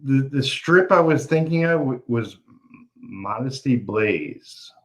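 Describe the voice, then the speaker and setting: A man's voice: medium pitch, animated, slow pace. A man from Brooklyn with a light Brooklyn accent, hosting a casual interview chat in an easygoing, rambling way. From Brooklyn, New York. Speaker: podcast host.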